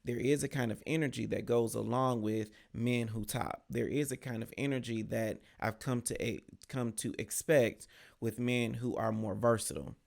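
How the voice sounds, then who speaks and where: A young man speaks in a relaxed, candid way about his own experiences, as the host of his show. His voice is gravelly and sits at a middle pitch. He often pauses and fills gaps with "um". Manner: candid and conversational, with frequent "um"s. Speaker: podcast host. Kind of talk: casual chat to camera.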